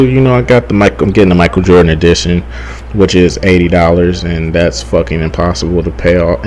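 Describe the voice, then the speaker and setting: A young man with a fairly low voice talks casually as a gaming YouTuber. He rambles and halts, circling back over the same phrases and swearing freely. There is some faint noise behind him. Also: darkish tone. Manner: repetitive, halting, swearing freely. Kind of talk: casual gameplay commentary, rambling.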